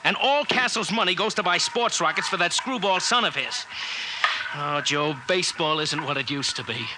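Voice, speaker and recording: Man playing a brash, highish-voiced American sportswriter. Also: moderate pace, lightly acted. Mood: disgusted, nostalgic. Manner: chummy, slangy, needling. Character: brash, needling, grumbling male newspaper sportswriter. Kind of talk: 1950s radio drama acting. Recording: noisy vintage 1950s radio recording with clicks.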